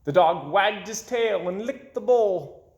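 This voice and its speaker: Guy reading a kids book out loud, real animated, takes his time w dramatic pauses, roomy echo. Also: high-pitched, highs cut off. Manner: dramatic pauses and drawn-out words. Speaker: children's storybook reader. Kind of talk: expressive read-aloud narration. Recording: roomy, echoing indoor sound.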